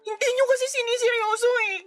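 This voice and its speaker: An actress playing an anxious, exasperated twenty-year-old daughter in a radio drama, speaking in a plaintive, emotional way. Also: female voice, extremely high pitch, steady tone, quick pace, no echo, soft background music. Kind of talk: Tagalog radio drama acting.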